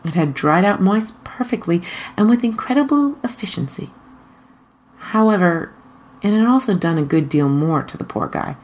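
A woman reading fan fiction aloud as an expressive scripted narration. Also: lowish voice, normal speed.

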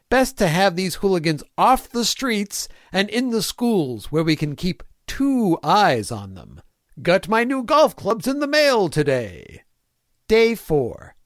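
A man performs a small-town mayor who is cheerfully evil and fussy about cleanliness. His voice sits a little high and swings expressively through clipped, folksy diary entries in a comic fan-fiction reading. The sound is dry and close. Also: no room sound. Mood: fussy, mildly peeved. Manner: folksy, prim, clipped list-like diary delivery. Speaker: fan audio drama voice actor.

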